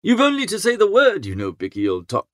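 A man playing a good-natured, easily flustered young upper-class idler who narrates an audiobook in a chatty, slangy, expressive way. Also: sits high, a little fast, no room sound, studio quality. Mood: friendly, wry. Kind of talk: first-person audiobook narration.

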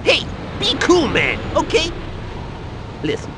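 An actor playing a jittery, excitable American street informant who talks in animated 1970s slang in a game. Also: male voice, very high-pitched, takes it slowly, heavy background noise, exaggerated voice. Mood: urgent, cagey.

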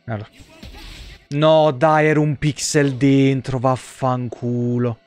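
A man's voice: mid-range voice, flat delivery, slow, darkish tone, faint music behind. A man in his thirties doing live gameplay commentary, grumbling and foul-mouthed as he chats casually. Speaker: video game streamer. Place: indoor room at a streaming desk.

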